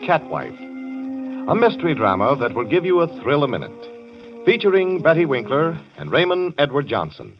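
A man announcing a 1940s radio drama in a crisp, showy manner, on a dull old radio recording with music. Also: a little high, wildly swooping pitch, normal speed.

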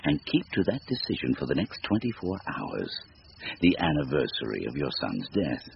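An actor playing a sinister supernatural bargainer in a radio drama, gravelly, with a deliberate, formal, taunting delivery. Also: male voice, a bass voice, very animated, full character voice. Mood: commanding, taunting. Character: sinister supernatural stranger, silky, devilish bargainer, bored and mocking. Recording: noisy, muffled radio drama recording.

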